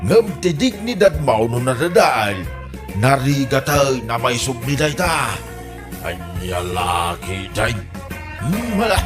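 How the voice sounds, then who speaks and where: A man with an Ilocano accent doing dramatic announcing as narrator and host of a drama podcast. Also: mid-range voice. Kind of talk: slow dramatic announcing with catchphrase. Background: music.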